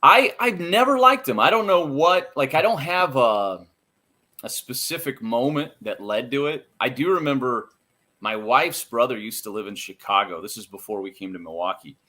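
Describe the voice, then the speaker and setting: An American man chatting casually as a podcast guest, telling anecdotes in an animated, self-deprecating way. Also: mid-range voice, normal speed. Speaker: interview guest, radio play-by-play announcer.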